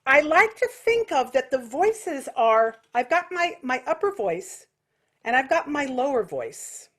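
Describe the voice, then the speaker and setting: A woman's voice: high-pitched, sing-song, measured pace, a bit bright. A woman giving an informal singing lesson as a vocal technique teacher, explaining things with vivid imagery.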